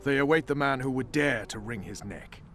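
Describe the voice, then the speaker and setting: An actor playing a calm, persuasive male conspirator in a fantasy game. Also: mid-range voice, slight background noise, lightly acted. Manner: measured, persuasive.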